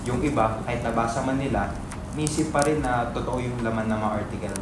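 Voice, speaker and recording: A man's voice: medium pitch, brisk, natural voice. A man with a Filipino accent acting an earnest, moralizing writer troubled by his conscience, in a dramatic reading. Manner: serious, moralizing, mixing English into Tagalog. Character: earnest, conscience-stricken male writer for a fiction website. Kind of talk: dramatic reading of a stage script. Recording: echoey room, loud background noise.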